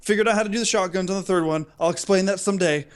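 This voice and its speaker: A man co-hosting a podcast, chatting casually in an excitable, theatrical way and acting out his reactions. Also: sits high, rapid-fire, clean tone. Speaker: podcast co-host. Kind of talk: casual chat, comic ranting.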